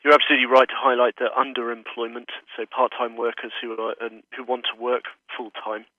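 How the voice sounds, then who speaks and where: A man speaking over a telephone line as an economist guest, giving measured, analytical answers in an interview. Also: mid-range voice, flat delivery, no echo. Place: remote, on a phone call. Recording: narrow, tinny phone line.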